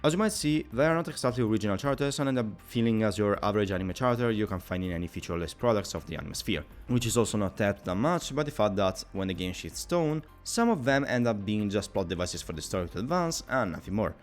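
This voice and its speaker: A man's voice: fairly low pitch, animated, fast talker, few pauses. A man narrating a scripted video game review in a wordy, opinionated, somewhat formal way. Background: background music.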